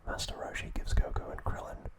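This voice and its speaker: A man narrating scripted ASMR in a breathy, unvoiced whisper, with a measured, soothing delivery. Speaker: ASMR channel host.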